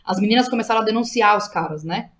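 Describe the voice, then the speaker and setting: A Brazilian woman with a São Paulo accent talks at a rapid clip in a casual interview. Her pitch sits in an ordinary middle range. She tells stories with animation, peppering them with slang and quoted lines. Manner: animated storytelling, slangy, quotes herself and others. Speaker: guest playwright and director.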